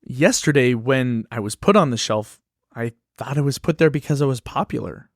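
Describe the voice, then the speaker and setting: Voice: mid-range voice, moderate pace, dry recording, clean studio sound, close to an everyday voice. An actor in a musical puppet show voicing an anxious, earnest children's book that pleads its case expressively. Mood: worried. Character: earnest, anxious award-winning children's book facing a ban.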